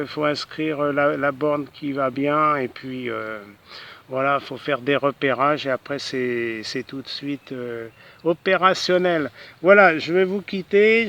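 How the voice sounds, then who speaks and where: A man's voice: on the high side, creaky, fairly bright tone, clean tone, lo-fi sound. A man talking casually as an amateur radio operator, hesitating and often ending phrases with little tag words. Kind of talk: casual ham radio contact chat.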